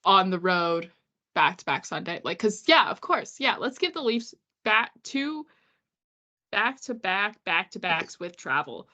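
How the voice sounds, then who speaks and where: A woman co-hosting a casual podcast, congested and sniffly with a cold, chatting quickly with frequent laughs and sarcastic asides. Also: mid-range voice, very animated, bright, forceful tone. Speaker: podcast co-host. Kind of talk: casual chat about hockey.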